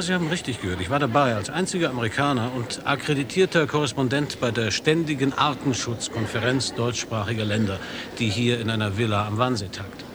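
An actor playing a dry, ironic foreign correspondent. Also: male voice, medium pitch, background noise, close to an everyday voice. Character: American male radio correspondent, dry and ironic. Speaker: reporter. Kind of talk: radio play acting a news report.

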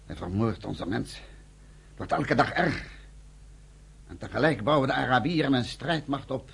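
An actor playing a weary, grave underground army commander in a radio drama, with a husky, gravelly voice. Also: male voice, mid-range voice, moderate pace. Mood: grim.

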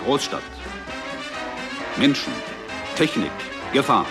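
An actor announcing a 1960s television police series in an emphatic, clipped, dramatic manner. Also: male voice, mid-range voice, big pitch swings, slow. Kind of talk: 1960s television opening narration. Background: loud brass music.

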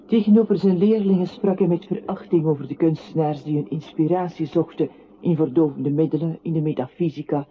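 An actress playing a narrator in a lyrical radio play, reciting in a solemn, measured way. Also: female voice, low-pitched, natural voice. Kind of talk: literary radio drama recitation. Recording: tinny, band-limited old radio-play recording with noise.

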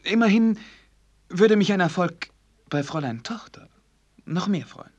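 An actor playing an earnest, sheltered young prince in a German radio drama, courtly and eager, with hesitant phrasing. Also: male voice, high-pitched, expressive, unhurried, darkish tone. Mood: wistful.

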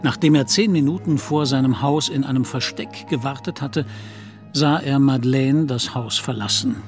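A man with a mid-pitched voice narrates a radio drama. It is scripted literary storytelling, with music clearly playing behind him. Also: moderate pace.

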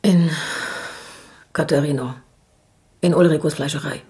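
An actress in a German radio crime drama playing a young, foul-mouthed gangster's moll who is defiant and scared, talking crudely. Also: female voice, deep voice, measured pace, mellow, breathy edge, no echo, full character voice. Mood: wary, confiding. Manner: brash, crude, slangy.

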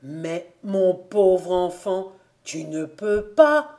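A woman voicing a gentle, weary old farmer father who speaks softly and patiently, with sorrow, in a storybook. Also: on the low side, big pitch swings, very slow, exaggerated voice. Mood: sorrowful.

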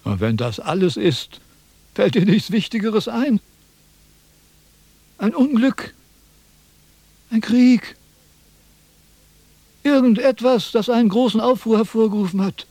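An actor playing a pompous, self-important ancient Chinese sage in a radio drama, lecturing in a condescending, impatient way. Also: male voice, sits high, expressive, takes it slowly, long pauses, warm tone, no echo, full character voice. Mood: impatient, probing. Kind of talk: theatrical radio drama dialogue.

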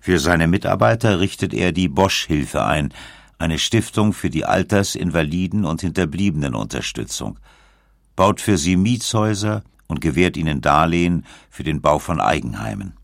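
A man reading scripted documentary narration in a measured, storytelling way. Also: low-pitched, gravelly, no room sound, clean studio sound.

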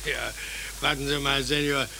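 A man's voice: mid-range voice, level tone, normal speed, creaky, bright, forceful tone. An actor playing a courteous, dithering, good-natured harbour policeman in a 1940s radio drama. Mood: puzzled, amused. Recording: hissy 1940s radio recording.

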